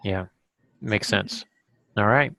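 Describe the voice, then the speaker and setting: A man hosting a casual podcast discussion about a craft, pausing often as he picks his words. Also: on the low side, gravelly, sharp tone, no room sound, clean studio sound. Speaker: podcast host and novelist.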